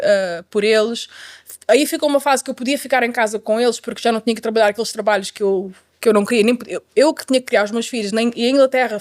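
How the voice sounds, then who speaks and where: A middle-aged woman with a Portuguese accent from Portugal, telling her life story conversationally as a guest, with emphatic repetition and the odd English word slipped in. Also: on the high side.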